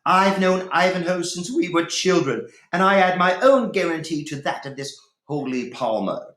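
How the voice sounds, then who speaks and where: A man's voice: sits high, lively intonation, moderate pace, a bit bright, pitched above the performer's own voice. A man voicing a proud, fiery young Saxon noblewoman from a storybook, indignant and taunting. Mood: angry, insistent. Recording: roomy, echoey room.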